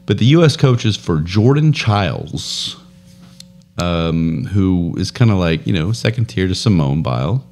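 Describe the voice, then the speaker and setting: A man in his thirties with a very deep voice, chatting casually as a podcast co-host. He talks into a close studio boom mic in a home room, rambling through stories and laughing often. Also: big pitch swings, a little slow, darkish tone. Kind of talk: casual chat. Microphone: boom studio mic with headphones.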